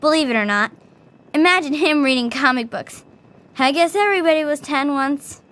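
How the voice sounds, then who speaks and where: A woman's voice: high voice, animated, normal speed, a bit bright. A woman playing a friendly, teasing young girl in a video game, with a playful, cheerful manner. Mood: playful, pleased. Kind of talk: video game character acting.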